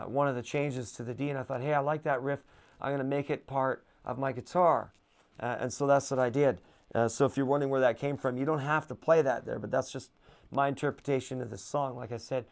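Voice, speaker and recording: A man with a low voice giving a casual guitar lesson, relaxed and chatty, with plenty of fillers. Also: vocal fry. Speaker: guitar teacher.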